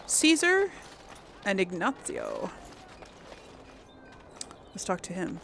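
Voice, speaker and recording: A woman's voice: mid-range voice, huge pitch swings, slow pace, gravelly, a bit bright, slight background noise. A woman giving casual role-play game commentary, hesitant and thinking aloud as she goes. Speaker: gaming video host.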